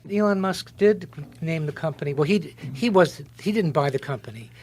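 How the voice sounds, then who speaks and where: A man's voice: fairly high pitch, animated, a little fast, darkish tone, slight background noise. A man chatting casually as a talk show guest, explaining things in a matter-of-fact way. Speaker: guest, stage director.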